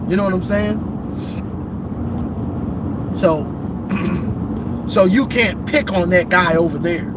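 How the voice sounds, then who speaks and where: A man hosting casual football talk, rambling and repeating himself, a bit hoarse from losing his voice, calling in from a vehicle. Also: high voice, takes it slowly, very noisy. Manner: rambling, repeats himself, says "you know what I'm saying". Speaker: football show host. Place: in a vehicle on a bridge.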